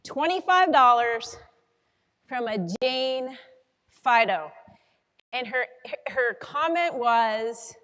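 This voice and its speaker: A woman's voice: a little high, animated, slow pace, long pauses, a bit bright. A woman hosting a live fundraising event, addressing the audience over a PA in an echoey hall. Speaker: event host, chiropractor.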